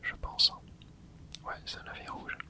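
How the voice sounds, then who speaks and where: Whispering man doing gameplay commentary. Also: measured pace. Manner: soft whispering with little interjections while playing; chatty, explains the game to viewers. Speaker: ASMR gaming channel host.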